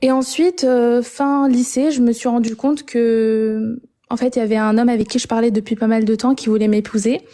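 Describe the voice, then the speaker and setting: A young woman from Algeria calling in to share her story, talking hesitantly with many pauses and restarts. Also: a little high, fairly even intonation, dark tone. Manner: hesitant, frequent filler sounds and restarts. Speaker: caller. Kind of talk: personal testimony on a call-in show.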